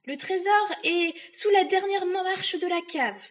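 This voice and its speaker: A spiteful old witch, shrieks in a high, loud voice. She scolds and curses with vengeful nastiness, straight out of a storybook. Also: moderate pace. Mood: pleading. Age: older. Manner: shrill, exclaiming, scolding and cursing.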